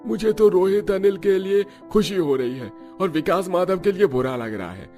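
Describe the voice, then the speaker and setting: Male narrator as nosy cartoon guest, pitched well up, tactless, judging, soft music behind. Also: expressive, normal speed. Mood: gossipy pity. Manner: gossipy, judgmental. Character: tactless, judgmental house guest. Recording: music under the voice.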